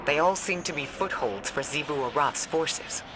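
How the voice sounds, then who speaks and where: A sober female military leader in a game. Her voice is serious and measured, with clear noise behind it. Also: sits low, lively intonation. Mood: wary, serious. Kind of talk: scripted video game dialogue.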